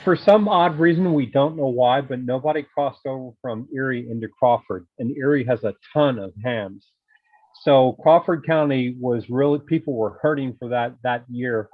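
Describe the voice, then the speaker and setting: A man chats casually, telling stories to fellow radio hobbyists over an online call. His voice sits at a middle pitch. The sound is narrow and phone-like, with very little room in it. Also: dry recording. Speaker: amateur radio club member. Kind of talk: casual anecdote in online meeting. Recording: narrow tinny call audio.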